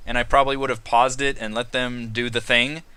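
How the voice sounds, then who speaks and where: A man's voice: medium pitch, little pitch movement, a little fast, bright, forceful tone, smooth. A man hosting a casual roundtable discussion, explaining things in an informal way with frequent asides. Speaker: host of a tabletop RPG discussion.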